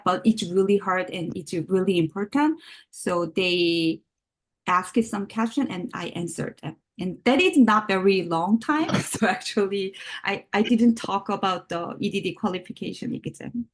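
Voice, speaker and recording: A woman graduate student reporting to her class over a video call. She speaks haltingly, in short, simple phrases, at a middle pitch.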